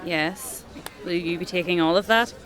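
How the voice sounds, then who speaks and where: An actress playing a deferential refugee bakery shopkeeper in a dark fantasy audio drama, hesitant and careful in her speech. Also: on the low side, normal speed, some chatter behind, lightly acted. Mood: plain, obliging.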